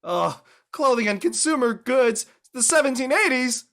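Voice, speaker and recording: Woman playing John, animated studious schoolboy. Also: high-pitched, moderate pace. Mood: anxious studying. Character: John, studious, good-natured teenage schoolboy. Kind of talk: audio drama table read acting.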